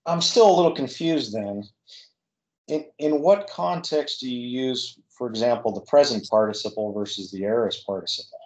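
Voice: medium pitch, expressive, normal speed, rough recording. A student on a video call politely asking the teacher a question about something that confuses them.

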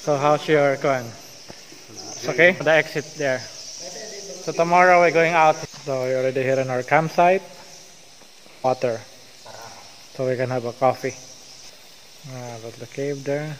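A man's voice: medium pitch, steady tone, moderate pace, pauses often, fairly bright tone, slight background noise, poor audio. Filipino man guiding a cave tour. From Philippines. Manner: coaxing, mixing English and Tagalog. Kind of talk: guided tour narration. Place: inside a large cave.

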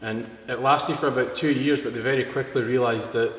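A Scottish man in his thirties, a guest explaining things in a relaxed, matter-of-fact way in a casual interview. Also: mid-range voice, a little fast. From Scotland. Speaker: guest, product distributor. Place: large indoor car showroom. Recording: echoey hall, faint room noise.

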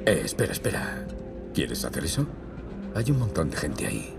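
Very animated, deep-voiced cocky thief. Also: male voice, moderate pace, gravelly, background noise, exaggerated voice. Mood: teasing. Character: cocky, teasing ex-convict thief, adventurer's brother. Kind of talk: game cinematic acting, Spanish dub.

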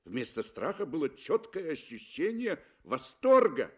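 A man reading literary narration for a 1960s radio play, with expressive, emphatic phrasing. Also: on the high side, unhurried. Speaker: audio drama narrator. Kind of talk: dramatic literary narration, 1960 Soviet radio. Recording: 1960 Soviet radio recording.

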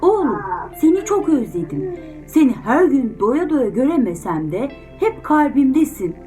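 A woman's voice: sits high, big pitch swings, moderate pace, mellow, soft background music, voice pushed up. A woman voicing a loving, reassuring father in a children's storybook reading, tender and emphatic.

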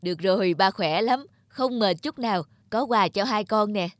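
Affectionate, cheerful cartoon dad. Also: male voice, high-pitched, normal speed, a bit bright, soft background music. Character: affectionate, cheerful father of young twin girls. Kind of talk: cartoon voice acting.

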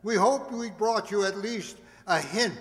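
A man's voice: sits high, measured pace, fairly bright tone. An older man hosting, giving a closing announcement to an audience from a stage. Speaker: host closing the show. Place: community hall stage. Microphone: stand microphone. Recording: noisy, echoey hall recording.

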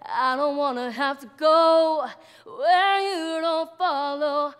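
A woman's voice: high-pitched, slow pace, faint music behind. A sixteen-year-old girl singing solo as an audition contestant. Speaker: audition singer. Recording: reverberant audition room.

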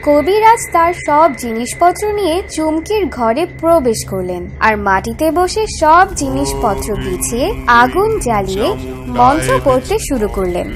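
Female narrator, high voice, animated intonation, dramatic fairy-tale storytelling, soft music behind.

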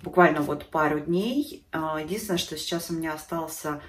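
A woman's voice: sits low, brisk, reverberant, distant mic. A woman in her thirties with a stuffy nose from a cold, chatting casually to camera about beauty products at home. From Russia. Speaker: beauty vlogger. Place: living room at home.

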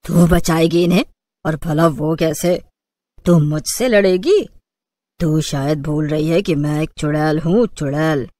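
A woman's voice: deep voice, measured pace, mellow, dry recording. A woman voicing a sly, menacing female witch in a cartoon story, gloating and taunting.

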